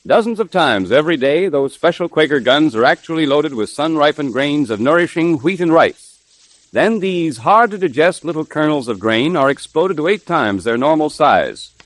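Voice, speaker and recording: Animated 1930s radio announcer, a man. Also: on the high side, normal speed, no room sound. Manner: emphatic, salesmanlike.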